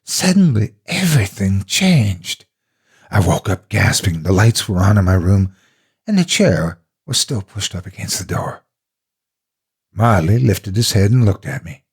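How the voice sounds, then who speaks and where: A man with a husky, gravelly voice narrating a horror story in the first person, dramatically and with deliberate expression. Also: fairly low pitch, very animated.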